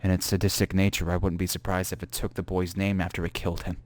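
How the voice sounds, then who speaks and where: A man's voice: sits low, level tone, quick pace, darkish tone, no room sound, lightly acted. A man playing a paranoid, frightened young man in a creepypasta dramatic reading, telling his diary with anguished drama. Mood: uneasy, threatened. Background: music.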